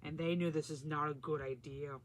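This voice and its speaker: A woman's voice: low voice, steady tone, a little fast, slight background noise. A woman storyteller reading a children's storybook aloud and adding simple asides about the pictures.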